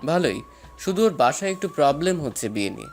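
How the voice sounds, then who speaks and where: A man's voice: fairly high pitch, sing-song, quick pace, gravelly, darkish tone, faint music behind. A man playing a protective young elder brother in an audio story. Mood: earnest, resigned.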